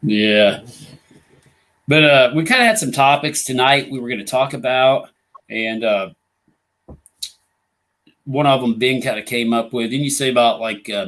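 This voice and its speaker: A man's voice: medium pitch, a bit bright. A Midwestern American man hosting a casual live ghost hunting talk show, rambling with lots of "you know" fillers and just getting over an illness. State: recovering from illness, voice coming back.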